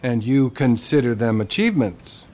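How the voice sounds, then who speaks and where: An actor playing a glib, money-minded middle-aged therapist in a satirical video game cutscene, condescending and falsely soothing. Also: male voice, mid-range voice, lively intonation, moderate pace, a little mellow, natural voice. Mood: detached, glib.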